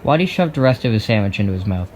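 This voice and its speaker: A man's voice: fairly low pitch, big pitch swings, quick pace, a little mellow, slight background noise. A man reading scripted story narration in an audio drama. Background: plucked guitar music.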